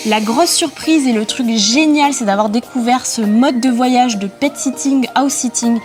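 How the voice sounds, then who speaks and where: A fairly high-voiced woman talks casually in a travel vlog, self-mocking and filling pauses with hesitant sounds. She is recorded outdoors on a camera mic, with faint music underneath. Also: darkish tone. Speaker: vlogger. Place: outdoors in hot weather.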